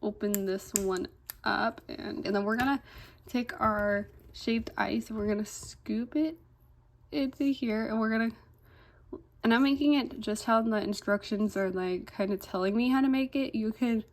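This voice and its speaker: A woman's voice: fairly high pitch, moderate pace. Chatty young woman, slightly congested. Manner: chatty, fillers "like" and "um", easily delighted. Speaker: toy unboxing vlogger. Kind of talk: casual unboxing chat.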